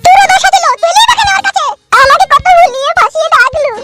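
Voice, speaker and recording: Cartoon young wife: extremely high pitched-up voice, sharp, scolding, outraged. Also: male voice, fast, faint music behind. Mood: tearful complaint. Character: shrill, indignant young Bengali wife and her womenfolk.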